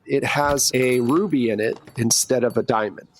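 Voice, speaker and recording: A man's voice: mid-range voice, normal speed, a little hiss or hum. A man narrating a tabletop roleplaying game as game master, conversational and loosely improvised.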